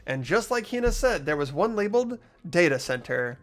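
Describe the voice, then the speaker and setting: A man's voice: high voice, very animated, a little fast. A man voicing an earnest, inquisitive teenage schoolboy who narrates his detective work in a visual novel game. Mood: curious, tense. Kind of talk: acted visual novel dialogue and inner narration. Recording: music behind the voice.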